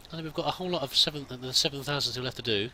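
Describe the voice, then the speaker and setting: A man's voice: medium pitch, rapid-fire, pushed and bright, slight background noise. A man hosting a roleplay let's play, chatting and musing aloud and reading in-game text as he plays.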